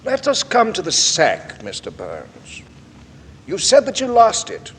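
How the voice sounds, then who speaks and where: Probing coroner in an echoing hall. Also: male voice, high-pitched, huge pitch swings, fairly bright tone, heavily acted. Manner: formal, probing, deliberately polite. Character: persistent, courteous male coroner questioning witnesses at an inquest. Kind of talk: 1940s radio acting. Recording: old radio, hissy echoing hall.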